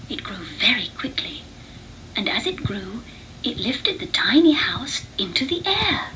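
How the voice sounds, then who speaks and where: A woman narrating a children's read-along storybook with deliberate, expressive phrasing. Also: on the high side, a little hiss or hum, poor audio.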